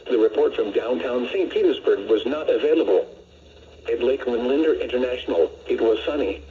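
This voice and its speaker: An American man's synthetic-sounding voice reading a scripted weather report as a radio announcer, measured and impersonal. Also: mid-range voice, lively intonation. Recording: muffled lo-fi weather radio broadcast.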